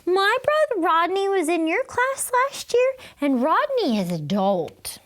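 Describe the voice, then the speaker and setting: Woman acting young boy, skeptical, pushy, high and swooping, blunt, storybook. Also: wildly swooping pitch, measured pace, fairly bright tone, heavily acted. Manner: blunt, questioning. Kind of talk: children's storybook read-aloud.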